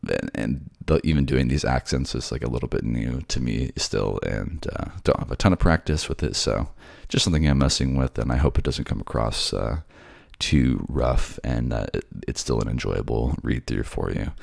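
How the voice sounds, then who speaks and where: A man with a remarkably low voice full of creak talks casually and sleepily, close to the mic in a dry space. His delivery is hesitant and modest. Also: moderate pace, few pauses, a little mellow, no echo. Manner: hesitant, apologetic, low-key. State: sleepy, just woke up. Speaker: voice actor. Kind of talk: casual outro chat and soft narration.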